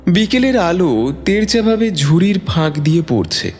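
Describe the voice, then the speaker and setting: A man's voice: sits high, sing-song, normal speed. A man reading a scripted story as dramatic narration. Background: soft background music.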